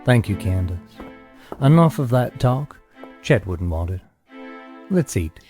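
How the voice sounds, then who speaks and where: A man playing a courteous but pointed Southern gentleman guest in an audio drama, polite and measured. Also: fairly low pitch, sing-song, soft background music. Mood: somber.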